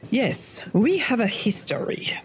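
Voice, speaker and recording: An actress playing a guarded adventuress in an audio drama, speaking in a formal, dry and precise way. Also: female voice, fairly high pitch, sing-song, unhurried, a little hiss or hum. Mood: grave, guarded. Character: guarded, formal, world-weary female adventurer.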